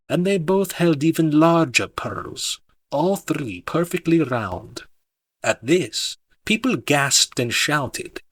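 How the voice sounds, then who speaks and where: Male narrator for literary fiction: measured, dramatic, widely varied intonation, dry studio-like sound. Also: fairly high pitch, fairly bright tone, no echo. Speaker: audiobook narrator. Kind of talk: expressive scripted audiobook narration.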